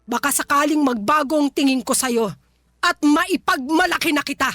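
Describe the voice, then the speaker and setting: A stern Filipino mother in a radio drama. Her voice is very high and sharp, scolding her grown son with exasperation and resentment. Faint music runs underneath. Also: moderate pace, dry recording.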